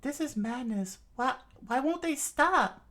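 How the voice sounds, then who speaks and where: A man voicing a distraught, pleading young female naval officer in a strained falsetto, in a told story. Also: lively intonation, measured pace, pushed and bright, heavily acted. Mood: anguished.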